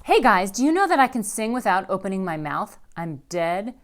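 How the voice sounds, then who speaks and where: A woman's voice: mid-range voice, very animated, moderate pace, close to an everyday voice. A woman voicing a dramatic, stubborn young girl who shows off and sulks. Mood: showy. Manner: showy, stubborn, whiny.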